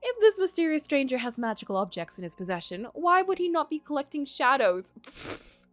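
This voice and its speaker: A woman streamer reading a game story aloud and reacting with playful, animated exclamations. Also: sits high, a little fast, no room sound, faint music behind. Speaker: streamer playing a visual novel.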